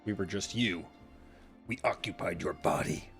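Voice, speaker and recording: A woman's voice: very deep voice, moderate pace, over music. A woman live streaming a game, giving offhand, quippy casual commentary.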